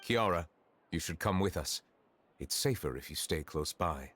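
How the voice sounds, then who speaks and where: An actor playing a dignified, composed chief judge in a game, speaking in a formal, measured way. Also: male voice, deep voice, lively intonation, a bit bright, slight background noise.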